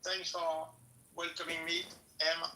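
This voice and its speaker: A man from France speaks English with a French accent, slow and halting, stopping often to find the next word. His voice is very bright and somewhat high for a man. He sounds distant from the mic in an echoey space. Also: completely flat delivery, a little hiss or hum. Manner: halting, searching for words with many pauses. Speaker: guest speaker in a live audio room. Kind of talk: casual political chat.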